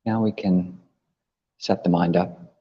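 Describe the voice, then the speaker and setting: A man leading a guided meditation as a teacher, gently instructing with long pauses between phrases. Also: sits low, steady tone, slightly rough. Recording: muffled, long reverberant hall echo.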